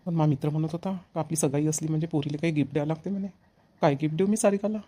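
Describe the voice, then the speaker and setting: A man's voice: a little high, fairly even intonation, very fast, warm tone, lightly acted. A man voicing an earnest, eager young village bridegroom and dutiful son in a cartoon, with a Vidarbha Marathi accent. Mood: musing, eager. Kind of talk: amateur cartoon dialogue.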